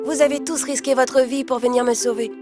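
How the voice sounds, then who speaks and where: Woman voicing a high-pitched earnest young jungle boy. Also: steady tone, fairly quick, music in the background, lightly acted. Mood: tired, grateful. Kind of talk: children's animated storybook acting.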